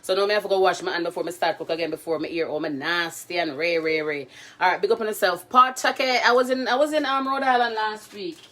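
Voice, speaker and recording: A woman's voice: on the low side, quick pace, bright, forceful tone. A woman hosting a casual cooking livestream from her home kitchen, chatting playfully with her viewers. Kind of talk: casual live cooking chat.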